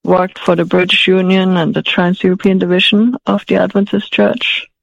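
A woman's voice: fairly low pitch, little pitch movement, normal speed, creaky, no echo, highs cut off. A woman in her forties raised in Denmark, answering interview questions hesitantly with many fillers and restarts. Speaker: guest, author.

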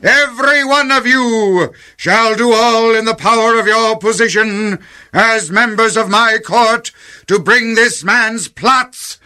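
A man's voice: high voice, lively intonation, slow pace, sharp tone, heavily acted. A man playing a jealous, wrathful aging king in a biblical audio drama, declaiming imperiously and theatrically. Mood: furious, commanding.

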